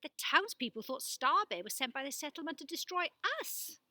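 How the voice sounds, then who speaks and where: A woman voicing an earnest young girl in a storybook, with an expressive, emphatic delivery. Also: sits high, normal speed, dry recording, voice pushed up, full character voice. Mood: anxious, upset. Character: earnest young girl fleeing with her bear. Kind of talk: storybook character voice.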